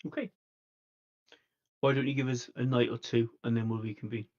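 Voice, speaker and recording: A man's voice: medium pitch, little pitch movement, darkish tone, lightly acted. Man as a diplomatic vampire, slightly dull recording. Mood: calm, conciliatory. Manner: halting, conciliatory, polite. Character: reasonable, diplomatic vampire coterie negotiator. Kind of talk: improvised tabletop roleplay.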